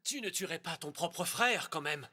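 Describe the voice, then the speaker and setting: An actor playing an earnest, humane young Hebrew prince with a resolute manner in a dubbed animated film. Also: male voice, fairly high pitch, moderate pace, heavily acted. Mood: pleading.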